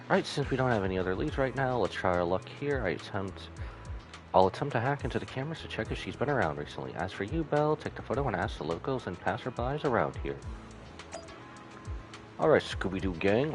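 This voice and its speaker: A man with a very deep voice and lively intonation streams a game. He casually reads text aloud and mutters asides over game music. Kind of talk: live game commentary, reading dialogue and menus aloud.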